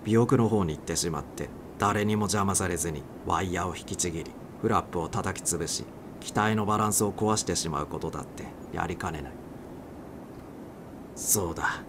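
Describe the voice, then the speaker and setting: A man's voice: low voice, brisk, noisy background. A man reading a suspense short story aloud as a dramatic audiobook narrator.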